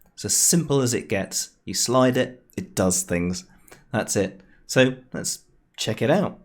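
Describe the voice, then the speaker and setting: A man narrating an informal screencast tutorial in a conversational, explanatory way with wry understatement. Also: fairly low pitch. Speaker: tutorial host. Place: home room, voice-over recorded near computer.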